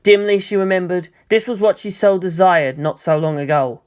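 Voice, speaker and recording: A woman's voice: fairly low pitch, moderate pace, a little mellow. A woman narrating a scripted story as a fan fiction reader.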